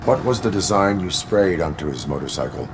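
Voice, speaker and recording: Deep, deadpan cyborg cop. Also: male voice, background noise. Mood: flat, businesslike. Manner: deliberate, clipped, formal, deadpan. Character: stoic male cyborg police officer, formal and dutiful. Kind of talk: video game voice acting.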